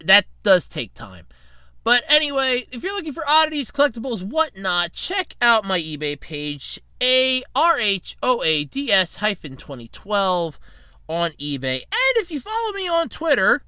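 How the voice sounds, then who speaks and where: A man's voice: very high voice, sing-song, unhurried, sharp tone, smooth, no room sound. A young man hosting a casual solo podcast, rambling and jokey while fighting a cold and tired from night shifts. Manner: rambling, chatty, self-deprecating, jokey. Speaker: podcast host.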